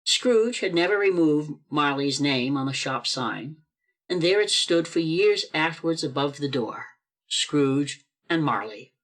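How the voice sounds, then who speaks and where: A narrator telling a classic story for a radio play, with deliberate, emphatic and dramatic phrasing. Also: mid-range voice, animated, fairly bright tone. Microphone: video call microphone.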